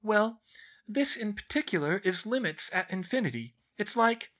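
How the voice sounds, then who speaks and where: Seeking a man to play a smug, clever schoolgirl in a storybook, high and lilting, explaining things matter-of-factly. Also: animated, a bit bright. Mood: brisk, explanatory. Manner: brisk, explanatory, matter-of-fact. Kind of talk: audiobook character voice.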